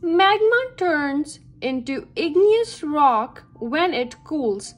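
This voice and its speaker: A woman reading a children's science book aloud with careful phrasing meant for young listeners. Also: sits high. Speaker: read-aloud reader for children.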